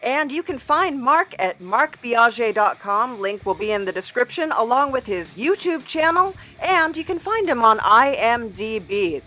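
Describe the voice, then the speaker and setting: A woman's voice: fairly high pitch, very animated, unhurried, few pauses, pushed and bright. A woman hosting a podcast in a playful, casual style. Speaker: podcast host. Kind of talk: playful character interview and casual sign-off.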